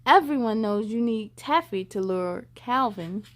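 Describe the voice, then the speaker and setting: Storybook old fisherman played by a female reader: boastful, argumentative, emphatic, high-pitched with big swoops. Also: expressive, a little slow. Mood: bickering. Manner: emphatic, grumbling, bickering. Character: boastful, argumentative old man fishing by a lake. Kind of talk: children's storybook read-aloud.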